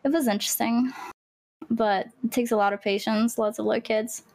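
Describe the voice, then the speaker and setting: A woman's voice: on the high side, fairly even intonation, moderate pace, gravelly, darkish tone. A woman of twenty-two from Greece, whose first language is Greek, chatting casually and laughing as a podcast guest. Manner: chatty, laughing, heavy use of "like". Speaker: guest, gamer and streamer.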